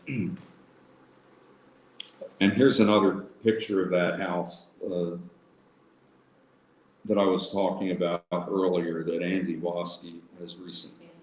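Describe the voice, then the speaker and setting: A man reading aloud as an informal presenter for a local historical society, in a somewhat halting manner. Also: low voice, steady tone, darkish tone. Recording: roomy echo with faint background noise.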